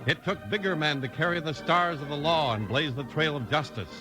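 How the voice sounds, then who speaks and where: A man's voice: on the high side, fairly quick. A man with an American accent, announcing in a dramatic, emphatic 1950s radio style. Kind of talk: 1950s radio announcing, scripted narration and advertising. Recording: vintage 1950s radio broadcast. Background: music.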